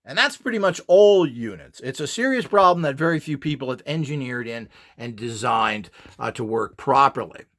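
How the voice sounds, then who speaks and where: A man's voice: mid-range voice, expressive, moderate pace, a bit bright. A man giving an informal explainer talk as an instructor and seller, lecturing in an opinionated, emphatic way. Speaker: instructor and seller of esoteric devices and courses.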